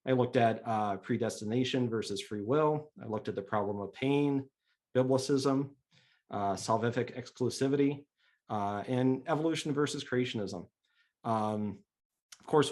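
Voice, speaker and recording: A man with a mid-range voice giving an informal presentation on a video call. He speaks hesitantly, often restarting phrases and filling pauses. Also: mid-range voice. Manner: hesitant, many restarts and fillers. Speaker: guest speaker and author.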